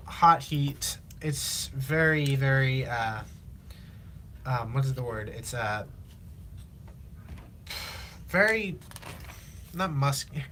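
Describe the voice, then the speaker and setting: A teenage man from Illinois, chatting casually on a live stream while he builds, rambling with lots of fillers, his voice slightly raspy from long talking. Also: medium pitch, sharp tone, slight background noise. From Illinois, USA. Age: teens. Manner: rambling, halting, many fillers and restarts. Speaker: livestreamer.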